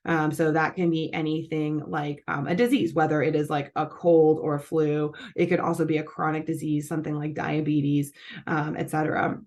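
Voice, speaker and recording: A woman's voice: deep voice, level tone, normal speed, a little mellow. A woman hosting a nutrition channel, explaining things in a casual, chatty way with lots of fillers and self-corrections. Her voice is scratchy and congested from allergies. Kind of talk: casual explanatory talk to camera.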